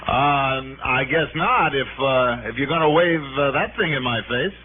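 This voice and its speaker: An actor playing a wry, self-assured insurance investigator who also narrates, in the style of old radio detective drama. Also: male voice, mid-range voice, unhurried, noisy background, lightly acted. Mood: cautious, amused. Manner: wry, teasing, hesitant asides. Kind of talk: 1950s radio detective acting. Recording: tinny band-limited old radio recording.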